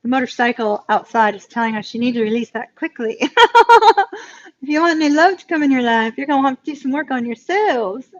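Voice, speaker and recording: Chatty hoarse woman tarot reader. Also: fairly high pitch, brisk, muffled recording. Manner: chatty, laughs often, repeats words for emphasis. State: voice going hoarse. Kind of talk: live tarot reading stream.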